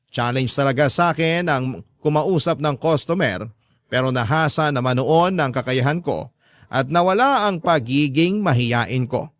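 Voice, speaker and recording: A man's voice: fairly high pitch, no room sound, close to an everyday voice. An actor playing a hurt, earnest young Filipino man narrating his life in a radio drama.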